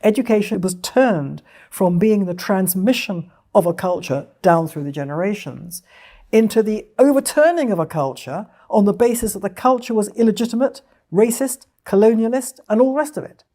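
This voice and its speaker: A woman's voice: medium pitch, lively intonation, normal speed, dark tone. A woman giving wry commentary, ticking off items in a list.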